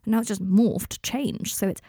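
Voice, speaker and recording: A woman's voice: medium pitch, fairly even intonation, normal speed, warm tone, breathy edge, dry recording, clean studio sound. A woman voicing a sardonic, self-deprecating young man in therapy, rambling and gloomy, in audiobook dialogue. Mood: anguished, obsessive. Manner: sarcastic, rambling confessions with abrupt breaks.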